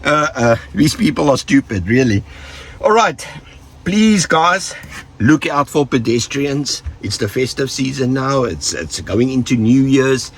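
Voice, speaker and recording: A man's voice: medium pitch, lively intonation, measured pace, breathy edge. A South African man with the flu, giving casual, joking safety tips to his viewers and rambling off with trailing asides. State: sick with flu, rough voice. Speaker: vlogger.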